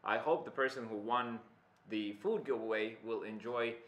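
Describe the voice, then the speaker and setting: A man's voice: medium pitch, normal speed, fairly bright tone, reverberant, highs cut off. A man casting an esports broadcast, upbeat and chatty as he runs through the standings. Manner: upbeat, wraps up with cheerful sign-offs. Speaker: esports caster. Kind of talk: live esports commentary.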